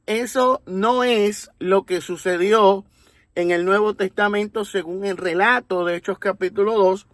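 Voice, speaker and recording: Puerto Rican male commentator, deliberate teacherly delivery, unhurried pace. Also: sits high, steady tone, fairly bright tone, dry recording. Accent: Puerto Rican Spanish. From Puerto Rico. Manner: deliberate, teacherly, with rhetorical tags. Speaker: religious commentator. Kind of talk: explanatory monologue.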